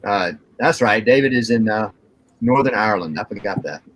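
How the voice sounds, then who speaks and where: A man's voice: lowish voice, lively intonation, moderate pace, fairly bright tone. A man co-hosting a casual live chat, bantering and teasing in short conversational remarks. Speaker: co-host of a live chat show.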